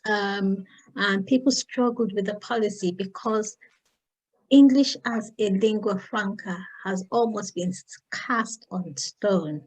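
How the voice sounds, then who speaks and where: A woman's voice: medium pitch, slow pace, a little mellow, slightly rough, poor audio. Thoughtful Nigerian woman hosting. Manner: thoughtful, with many fillers. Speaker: host. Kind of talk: casual panel discussion.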